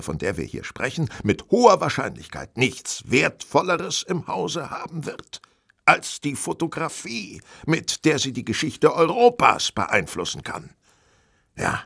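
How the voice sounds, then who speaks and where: Ein Schauspieler spielt in einem Hörspiel einen selbstsicheren, berechnenden Detektiv, der bestimmt und belehrend spricht. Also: male voice, medium pitch, gravelly, fairly bright tone, breathy edge, full character voice. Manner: self-assured, deliberate, instructive. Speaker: lead actor in an audio drama. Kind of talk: German audio drama dialogue.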